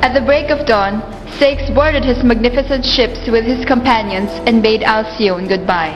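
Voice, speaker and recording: A woman's voice: on the high side, normal speed. A woman narrating a scripted radio play as a storyteller, in a formal, literary, dramatic manner. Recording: echoey hall reverb, clear music behind.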